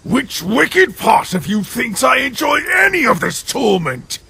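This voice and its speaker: A man playing a proud, exasperated young male deer in an animated comic, indignant and sputtering. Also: very high-pitched, a little slow, gravelly, husky, heavily acted. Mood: anguished.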